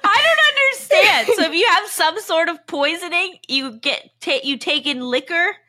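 Very high-pitched, giggly woman podcaster. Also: lively intonation, normal speed, pushed and bright. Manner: giggly, prone to laughing while talking. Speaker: podcast co-host. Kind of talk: casual comedic podcast chat.

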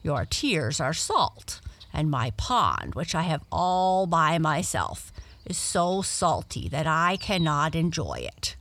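A woman voicing a talking male frog in a children's story, fussy, excitable and given to formal grumbling. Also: sits low, slow pace, slight background noise, exaggerated voice. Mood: gloomy, grumbling. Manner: formal, complaining, bossy.

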